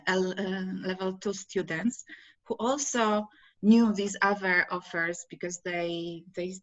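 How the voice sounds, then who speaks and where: A middle-aged woman with a Polish accent, speaking English as a second language, answering interview questions on a video call with halting speech and many fillers. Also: mid-range voice. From Poland. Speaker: course graduate, interview guest. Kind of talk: casual interview chat. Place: home room, video call. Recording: dull lo-fi video call audio.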